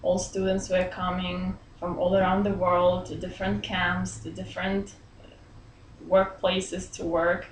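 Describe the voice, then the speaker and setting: A woman's voice: lowish voice, level tone. Belarusian woman in her thirties, roomy office. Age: 30s. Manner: friendly, laughs often, pauses to search for words. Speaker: interviewee. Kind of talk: casual interview chat. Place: small office indoors. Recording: roomy echo, faint room hum.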